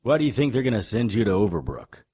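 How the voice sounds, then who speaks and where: A man playing a gruff, world-weary supernatural investigator in an audio drama, speaking in a wry, terse and insistent way. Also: medium pitch, fast talker, dark tone. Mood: probing, urgent. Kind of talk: audio drama acting and first-person narration.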